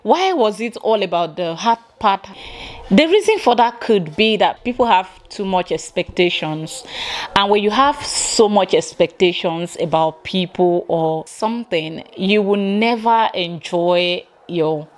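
A Nigerian woman in her forties, giving a lively reaction commentary from her living room, full of rhetorical questions. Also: medium pitch, a little slow. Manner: animated, exclaiming, rhetorical questions. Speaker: vlogger reacting to a clip.

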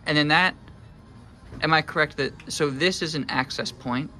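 A man's voice: fairly high pitch, moderate pace, sharp tone, soft background music. A man in an instructor's role, asking inquisitive conversational questions and checking his understanding step by step. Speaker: instructor interviewing students. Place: electronics lab, indoors.

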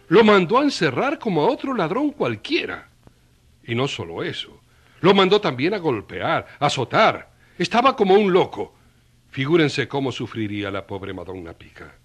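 A man's voice: a little high, slightly rough. A man narrating a dramatic story, conversationally addressing his listeners. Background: background music.

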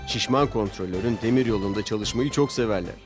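A man's voice: on the low side, a little fast. A man narrating a scripted children's story as the storyteller. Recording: music bed behind narration. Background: music.

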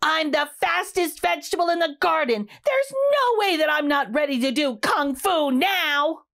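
Woman putting on an eager, high-pitched little rutabaga boy. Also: animated, measured pace, a bit bright, full character voice. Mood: proud. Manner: exclaiming, wide-eyed and emphatic. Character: eager, easily upset young rutabaga boy.

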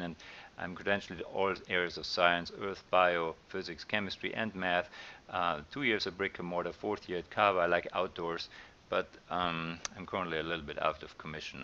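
A middle-aged man with an Austrian accent, talking through course slides as a science teacher in a relaxed way, with many ums and uhs. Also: low voice, highs cut off, slight background noise. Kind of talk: recorded slide lecture.

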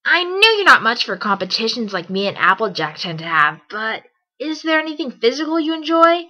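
Woman as a bright, brash cartoon pony. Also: a little high, big pitch swings, a little fast, heavily acted, rough recording. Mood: casual, playful. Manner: confident, casual, bold. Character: brash, cocky young female pegasus pony. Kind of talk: amateur cartoon fan audio drama.

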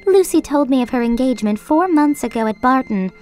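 A woman voicing a calm, dutiful young woman, an elder sister, who speaks in an earnest, restrained way. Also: high-pitched, a little fast, faint music behind.

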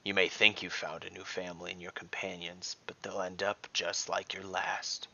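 A man voicing a sinister, taunting dragon with a hissing, breathy whisper, speaking slowly and deliberately in an audio story. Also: low-pitched, flat delivery, bright, forceful tone, dull audio, full character voice. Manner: slow, deliberate. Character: sinister taunting male dragon, menacing and mocking.

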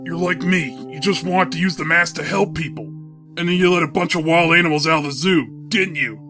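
An actor playing an intense, earnest police detective in a comic book audio drama, with an urgent, no-nonsense manner. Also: male voice, a little high, fairly quick, lightly acted. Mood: stern, pleading. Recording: music under the voice.

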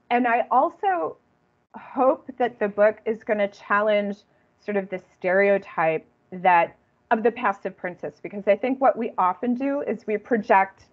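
Woman lecturer on a dull video call. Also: medium pitch. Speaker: guest lecturer and author. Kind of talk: informal academic online talk. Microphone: computer video call.

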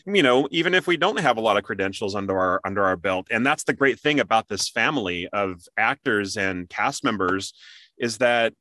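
A man's voice: fairly low pitch, animated, a little fast, sharp tone, dry recording, clean studio sound. A man hosting a casual interview, talking in a chatty, conversational way. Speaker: interview host and amateur voice actor.

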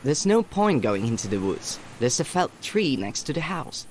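An actor playing a dutiful, gentle village boy in a video game, speaking in an earnest storybook manner. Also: mid-range voice, very animated, normal speed, a little hiss or hum, close to an everyday voice. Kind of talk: scripted video game narration and dialogue.

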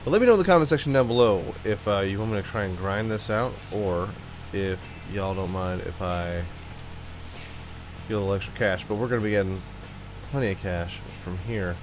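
A young man with a clogged, stuffed-up voice talks through a game he's playing. He's goofy and loose, cracks jokes and breaks into little sung riffs. Faint game music plays softly behind him. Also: fairly low pitch, fairly quick. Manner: goofy, jokey, sings and riffs to himself. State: stuffed up from a cold. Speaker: gaming YouTuber. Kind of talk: playful let's-play commentary.

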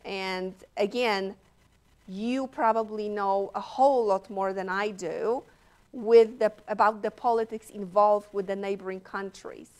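A woman speaking as a professor on a panel, thoughtful and measured, pausing often. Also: mid-range voice, steady tone, darkish tone. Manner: measured, thoughtful, slow with pauses. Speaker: panelist, political science professor. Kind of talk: panel discussion answer. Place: stage at a law school panel table.

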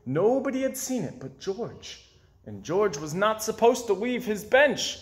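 A young man narrates a children's storybook aloud. His voice sits a little high and swings widely for dramatic emphasis, with roomy indoor echo around it. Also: big pitch swings, measured pace. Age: 20s. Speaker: storybook reader. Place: small indoor room with bookshelves.

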